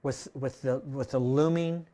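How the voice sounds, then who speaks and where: A man in his sixties preaching a sermon in a church room, conversational, tossing in "right?" and swinging between loud and soft. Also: medium pitch, steady tone, a little slow. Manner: conversational preaching, tag questions, dynamic swings in loudness. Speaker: pastor. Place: church room with projector screen.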